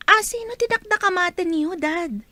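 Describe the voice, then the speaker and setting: A woman playing a sweet, modest teenage daughter in an audio drama, speaking in a gentle, polite way. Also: extremely high pitch, normal speed, no room sound. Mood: curious, respectful.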